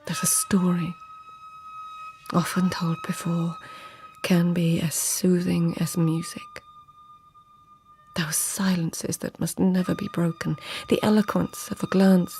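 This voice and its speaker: An actress playing an anxious, deferential court lady in a radio drama, speaking formally and earnestly, sometimes hushed. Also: lowish voice, little pitch movement, slow, faint music behind. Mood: reflective, intimate.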